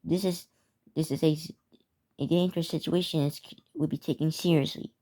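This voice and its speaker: Dark-voiced woman stumbling through emergency announcements. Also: sits low, fairly even intonation. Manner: halting, stumbling over words and repeating phrases. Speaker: narrator of a hobby emergency alert scenario. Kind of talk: scripted emergency announcement read aloud.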